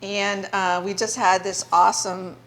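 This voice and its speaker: A woman's voice: mid-range voice, little pitch movement, a little slow, fairly bright tone. Enthusiastic woman talk-show host. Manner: enthusiastic, chatty. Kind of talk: casual interview chat.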